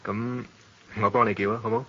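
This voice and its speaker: An actor playing a suave, worldly gentleman narrating and talking in a radio drama, with a Hong Kong Cantonese accent. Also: lowish voice, little pitch movement, brisk. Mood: courteous, casual. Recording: dull lo-fi audio, noisy background.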